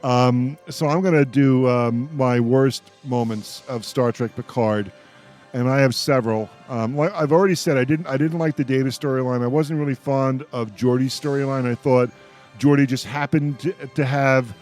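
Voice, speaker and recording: A man hosts a casual roundtable in a medium-pitched voice. His delivery is wry and mock-exasperated. Faint music sits behind him. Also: steady tone, normal speed, darkish tone. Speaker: radio show host. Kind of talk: casual panel discussion.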